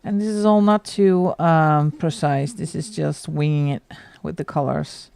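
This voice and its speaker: A woman's voice: sits low, steady tone, measured pace, creaky, a little mellow, clear, resonant tone, no echo. A middle-aged woman from the Netherlands with a Dutch accent, chatting casually and laughing often while she colours on a livestream. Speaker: livestream host.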